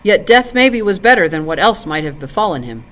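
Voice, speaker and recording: Storybook role: ancient, solemn elf lord played by a woman, stately archaic speech, expressive pitch movement. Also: fairly quick, slight background noise. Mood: grave, solemn. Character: grave, ancient male elf lord recalling old wars. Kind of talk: storybook character in read-aloud.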